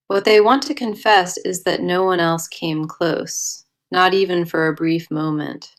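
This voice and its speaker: A woman giving a scripted tarot reading as host, in a solemn, emphatic, declarative way. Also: sits low. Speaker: tarot card reader and host.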